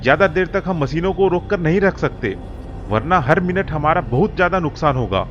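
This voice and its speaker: A man's voice: on the high side, animated, moderate pace, lo-fi sound, noisy background, natural voice. A man voicing a stressed, demanding factory supervisor in a cartoon story, giving orders with urgency. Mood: urgent, annoyed. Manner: urgent, commanding.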